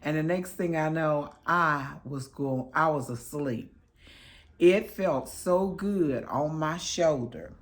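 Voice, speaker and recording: Woman, casual home reviewer; hoarse and crackly, slow, affectionate delivery. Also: deep voice. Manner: affectionate, chatty, pious greetings. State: hoarse, crackly voice after illness. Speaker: product reviewer.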